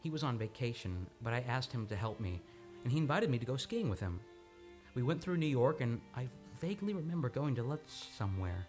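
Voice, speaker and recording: An actor playing an earnest, tender man haunted by returning memories, with halting, dramatic delivery in an old-time radio play. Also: mid-range voice, sing-song, darkish tone, close to an everyday voice. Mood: earnest recollection. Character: haunted male amnesia patient recovering his memory, tender and earnest. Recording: studio radio play with music behind.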